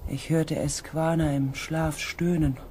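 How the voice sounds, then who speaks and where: A woman playing an anxious, weary space expedition pilot, narrating a dramatic audio drama in a hushed, intense way. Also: low-pitched, steady tone, slow pace, a little hiss or hum. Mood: somber. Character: anxious, weary expedition pilot recounting events, far future.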